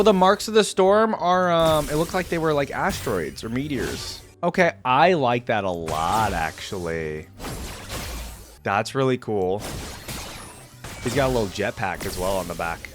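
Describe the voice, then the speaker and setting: A young man giving casual, expressive reaction commentary as a video host, easily amused. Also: medium pitch. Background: game audio and music.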